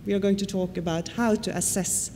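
A woman whose first language is Swedish, giving a conference lecture and often pausing on fillers. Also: medium pitch, fairly even intonation, warm tone. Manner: explanatory, with frequent fillers and questions to the audience. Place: lecture hall. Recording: reverberant hall with faint noise.

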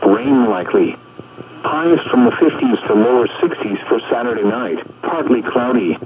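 A synthetic man's voice reads a weather bulletin in measured, impersonal phrases. It sits at a medium pitch. The radio sound is dull and lo-fi, with clear background noise. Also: animated, a little mellow. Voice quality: synthetic text-to-speech. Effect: weather radio broadcast. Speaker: automated weather radio announcer. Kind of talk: scripted weather bulletin reading. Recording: noisy muffled radio picked up by microphone.

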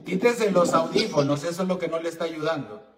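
A man's voice: a little high, fairly quick. A man with a Mexican accent hosting a call-in advice show, bantering in a brash, teasing, campy and bawdy manner. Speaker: call-in show host and esoteric advisor. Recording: roomy echo, distant mic, faint noise.

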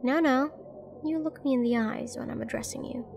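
A woman's voice: high-pitched, fairly quick, gravelly, noisy background. A woman playing a confident, flirty and dangerous mercenary in a nightclub roleplay audio drama, teasing and commanding as she addresses the listener. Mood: seductive, assured.

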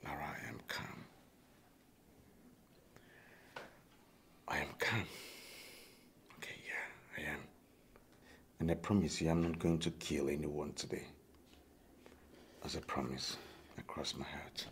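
A man's voice: deep voice, huge pitch swings, normal speed, few pauses, fairly bright tone, roomy sound, slight background noise, heavily acted. An actor playing a wounded, frustrated ex-convict man who pleads and repeats himself in naturalistic film drama. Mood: subdued, strained. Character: frustrated male ex-convict, wounded and simmering with anger.

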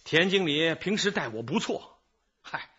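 An actor playing a chatty, evasive middle-aged informant in a radio drama, rambling, sighing and excusing himself. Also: male voice, on the high side, lively intonation, a little slow, pushed and bright, muffled recording, a little hiss or hum. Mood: explaining. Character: garrulous, evasive male small-time informant, self-excusing.

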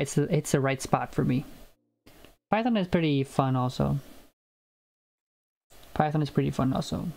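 A man's voice: fairly high pitch, gravelly, darkish tone. A young man whose first language is Icelandic, live-streaming programming and talking it through with many fillers and restarts, on a headset mic at home. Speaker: programming livestreamer. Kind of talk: casual live coding chat. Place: home room, indoors.

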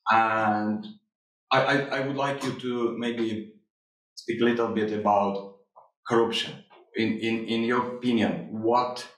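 A man's voice: on the low side, fairly even intonation, unhurried, a bit bright. A man from Ukraine asking a panel a polite, hesitant question from the audience in a seminar room. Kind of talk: formal question. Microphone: far room mic. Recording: echoey room from far off.

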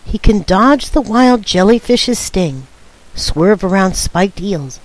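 Imaginative older sister for a storybook, played by a woman: persuasive tale-spinner, lively range, dry sound. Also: mid-range voice, slow, no room sound, poor audio. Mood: coaxing, dramatic. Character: coaxing, imaginative big sister telling tall tales.

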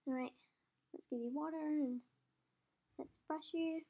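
A young woman with a cold and a croaky voice, chatting casually and mocking herself while playing a game as host of her channel, sometimes dropping to a whisper. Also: sits high, steady tone, a little slow, phone-quality sound.

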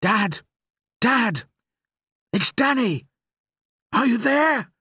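Man playing a high, pleading, anxious young boy. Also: sing-song, normal speed, a bit bright, breathy edge, no room sound, studio quality, exaggerated voice. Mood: desperate calling. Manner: calls out pleadingly. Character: anxious young boy searching for his father.

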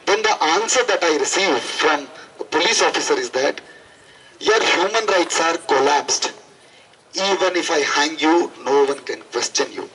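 A man's voice: a little high, expressive, unhurried, sharp tone, slight background noise, poor audio. A young man with an Indian accent giving an impassioned protest speech into a handheld microphone, emphatic and repeating phrases for effect. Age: young adult. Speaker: student activist. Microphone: handheld microphone through a PA.

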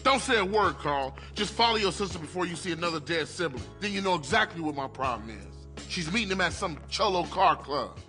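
An actor playing a stern, protective gangbanger older brother in a video game, blunt and scolding. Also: male voice, on the high side, lively intonation, a little fast, hardly any pauses, pushed and bright, soft background music. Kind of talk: video game cutscene acting.

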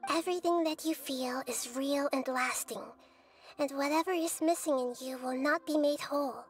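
A woman voicing a gentle, wise, childlike goddess who speaks softly and earnestly, in a video game. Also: sits high, moderate pace, lightly acted. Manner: soft, calm and earnest.

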